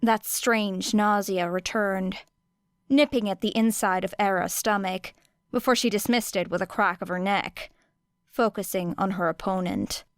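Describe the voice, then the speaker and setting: In a dry, close sound, a woman narrates fiction at a slow, measured pace. Her reading is expressive and dramatic, with deliberate pauses between phrases. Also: mid-range voice, no room sound. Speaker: audiobook narrator.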